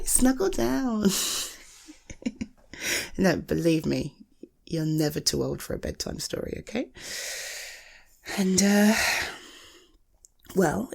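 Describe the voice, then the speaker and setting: British woman acting as a loving girlfriend at bedtime, asmr style, soft n husky, bit of fry, soothing and teasing. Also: fairly low pitch, heavily acted. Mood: soothing, affectionate. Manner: soothing, unhurried, murmuring. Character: affectionate, gently teasing woman soothing a sleepless partner at bedtime. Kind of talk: intimate ASMR bedtime roleplay.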